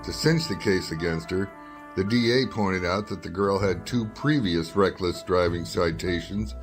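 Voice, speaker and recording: An actor playing a sardonic, grumbling, world-weary night reporter in a 1950s-style radio drama. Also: male voice, deep voice, normal speed, soft background music, natural voice. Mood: wry, world-weary. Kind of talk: 1950s radio detective drama narration and dialogue.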